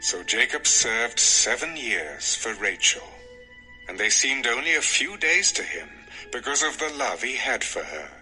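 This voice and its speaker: A man's voice: mid-range voice, big pitch swings, unhurried, bright, forceful tone, faint music behind, rough recording. A man narrating scripture from a script in a dramatic storytelling style.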